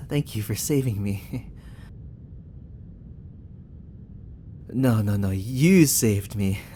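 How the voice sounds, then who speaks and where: A man's voice: fairly low pitch, very animated, very slow, dark tone, a little hiss or hum, exaggerated voice. A young man playing a flustered, protective barista who is secretly a time agent, in an ASMR sci-fi roleplay, speaking with stammers. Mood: relieved. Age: young adult. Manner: stammering, breathy sighs and nervous laughs. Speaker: roleplay actor.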